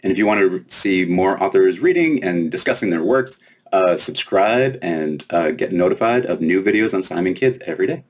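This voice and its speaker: A man reading children's fantasy fiction aloud as its author, in expressive storybook narration. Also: low voice, normal speed. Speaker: author reading from own book.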